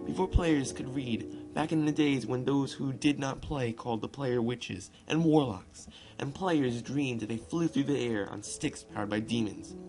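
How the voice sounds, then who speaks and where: A man narrates a gaming video in an informal, amateur read-aloud style at a middle pitch. He stumbles and ad-libs loosely, and prominent guitar and piano music plays along with his voice. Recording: music playing behind the voice.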